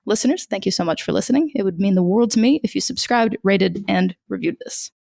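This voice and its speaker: A woman's voice: mid-range voice, lively intonation, brisk, creaky, darkish tone, dry recording, studio quality. A woman hosting an interview podcast, reading an upbeat scripted intro and then asking short questions. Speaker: podcast host.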